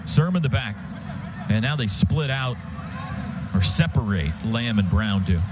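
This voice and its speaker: A man's voice: fairly high pitch, background noise. An American man calling live football play-by-play on the radio in clipped, present-tense phrases. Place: stadium radio booth. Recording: live radio broadcast, loud stadium sound behind.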